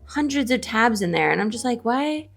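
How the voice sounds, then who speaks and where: A woman's voice: a little high, lively intonation, moderate pace, gravelly, faint music behind. A woman co-hosting a book show in casual, opinionated chat, speaking emphatically and often posing questions. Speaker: co-host of a book discussion show; librarian.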